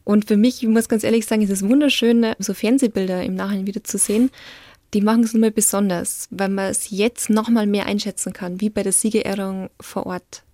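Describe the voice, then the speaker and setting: A young woman in her twenties with a Bavarian accent, chatting reflectively as an interview guest, often trailing off softly and laughing easily. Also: mid-range voice, fairly even intonation, fairly quick, no echo. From Lower Bavaria, Germany. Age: 20s. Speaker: guest, Paralympic athlete. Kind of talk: casual interview talk.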